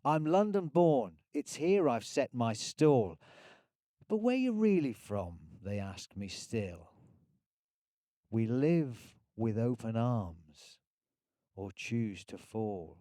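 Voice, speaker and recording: A man reads verse in a mellow, soft-edged voice at a slow pace. His phrasing is deliberate and rhythmic, captured very dry and close. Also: mid-range voice, sing-song, no echo. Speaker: poetry reader. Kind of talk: poetry recital.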